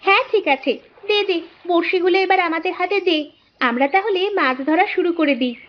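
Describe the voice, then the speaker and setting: A woman's voice: extremely high pitch, moderate pace, slight background noise. A woman voicing a bossy, curious young village girl, a big sister in a cartoon, with a Bangladeshi accent. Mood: organising. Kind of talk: animated cartoon dialogue. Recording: dull lo-fi audio.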